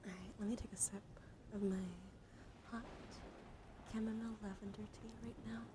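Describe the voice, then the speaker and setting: Soft-spoken woman streamer over noticeable background noise. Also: mid-range voice, little pitch movement, moderate pace. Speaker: live streamer. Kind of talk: casual ASMR livestream chat.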